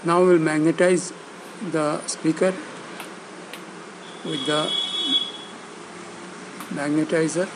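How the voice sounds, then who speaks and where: A man narrating instructions step by step, speaking in short, halting phrases with pauses between them. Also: a little high, little pitch movement, background noise. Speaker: instructor demonstrating loudspeaker assembly.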